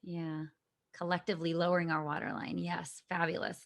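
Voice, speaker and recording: A woman's voice: fairly low pitch, little pitch movement, fairly quick, no echo, studio quality. A woman speaking as a guest in a conversational interview, thoughtful and earnest, with frequent fillers, heard over a video call.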